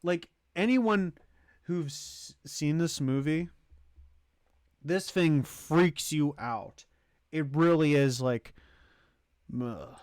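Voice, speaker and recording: Male streamer for casual ranking commentary. Unhurried, halting, thinks out loud, very dry recording. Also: on the high side, dry recording. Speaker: streamer hosting a movie tier list.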